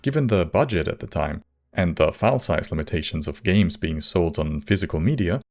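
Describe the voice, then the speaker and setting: A man narrating a scripted video essay as host, measured, with wry asides. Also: deep voice, animated, a little mellow. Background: faint music.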